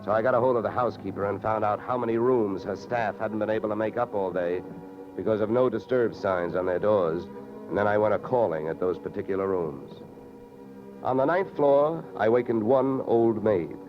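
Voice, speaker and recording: A man's voice: lowish voice, moderate pace, dull audio, close to an everyday voice. An American man playing a wisecracking insurance investigator in old radio detective drama style, clipped, sardonic and pushy. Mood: dry narration. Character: hardboiled, wisecracking male freelance insurance investigator. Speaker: lead actor and first-person narrator. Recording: vintage radio recording, music behind.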